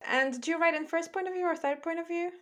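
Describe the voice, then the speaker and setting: A woman hosting a podcast and asking a guest questions in a relaxed, friendly way. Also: extremely high pitch, fast talker. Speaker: podcast host. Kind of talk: casual interview.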